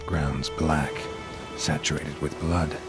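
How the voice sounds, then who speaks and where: An actor playing a grim, stoic middle-aged monster hunter, speaking in terse, clipped, deadpan lines for a game cutscene. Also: male voice, extremely low pitch, slow pace, loud music behind the voice. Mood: investigating. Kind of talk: video game cutscene acting. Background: orchestral game score.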